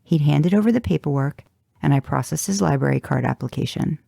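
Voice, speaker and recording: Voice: mid-range voice, animated, a little fast, gravelly, warm tone, dry recording, studio quality. A narrator reading first-person fan fiction in an audiobook style, with a wry, intimate delivery. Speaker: fan fiction podcast reader.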